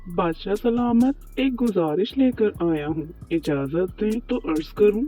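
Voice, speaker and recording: A man's voice: sits high, unhurried, dark tone, no room sound. A man playing a poor, worried, humble bread baker in an Urdu moral cartoon story, pleading and deferential. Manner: pleading, deferential, talks to himself. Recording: muffled lo-fi track with music behind.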